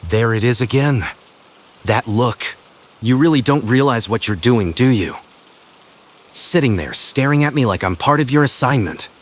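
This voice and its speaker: Male actor as confident, possessive professor for intimate ASMR roleplay. Medium pitch, smug, teasing, commanding, deliberate pauses. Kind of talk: boyfriend ASMR roleplay, spoken intimately to the listener.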